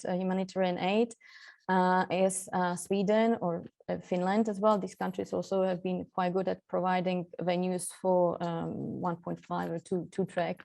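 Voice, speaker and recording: A woman's voice: medium pitch, gravelly, darkish tone. A woman from Central Europe speaking as a guest expert on a webinar panel over a video call, with many fillers and restarts.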